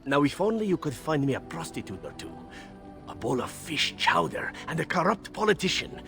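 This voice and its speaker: Suave rogue with lively intonation. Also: male voice, fairly high pitch, fairly quick, faint music behind, heavily acted. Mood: wistful, playful. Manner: savouring, suave, playful. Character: roguish, sensual, playful foreign man far from home. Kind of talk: video game dramatic acting.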